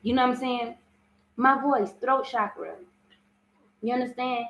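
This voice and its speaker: Slow, earnest woman with a big voice. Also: a little high, fairly even intonation, a bit bright, roomy sound, rough recording. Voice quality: strong, big voice. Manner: earnest and repetitive, frequent "you understand?". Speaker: spiritual self-love host. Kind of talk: live-streamed motivational talk.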